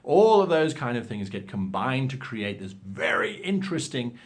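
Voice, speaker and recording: A man presents instructional advice as a show host, speaking straight to his viewers. His delivery is theatrical and emphatic, and his voice sits at a medium pitch for a man. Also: sing-song, normal speed. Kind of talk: instructional talk to viewers with acted example lines.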